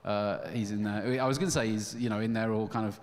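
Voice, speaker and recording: A man hosting a live event, talking haltingly with lots of ums and wry jokes. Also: on the low side, fairly even intonation. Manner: hesitant, many fillers, joking. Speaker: event host. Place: live venue stage with audience. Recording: long hall reverb.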